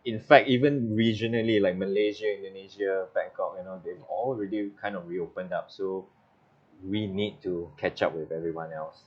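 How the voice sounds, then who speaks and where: A man with a very deep voice and a Singaporean English accent joins the panel over dull, lo-fi video call audio. He talks in a laid-back, unhurried way, pausing and hesitating now and then. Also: steady tone, husky. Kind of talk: panel interview chat.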